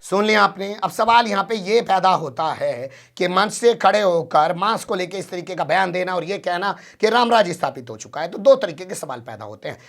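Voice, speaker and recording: A man's voice: high-pitched, lively intonation, brisk, gravelly, hardly any pauses, fairly bright tone. A man presenting news commentary in an emphatic way, posing rhetorical questions as he goes.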